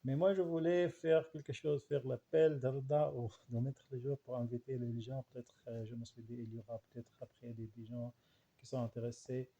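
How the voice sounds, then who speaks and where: Male, Arabic accent and mother tongue. Very dark, relaxed timbre with fry; halting, repetitive, informal delivery. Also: medium pitch. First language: Arabic dialect. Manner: halting, searching for words, much repetition. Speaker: language researcher and writer. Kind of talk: informal spoken reflection.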